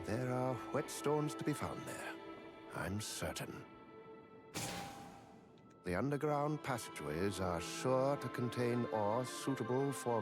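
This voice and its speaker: A formal, courteous fantasy game armorer with a lowish voice. He speaks in measured, ornate phrases with great politeness, over prominent music. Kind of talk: scripted video game character dialogue.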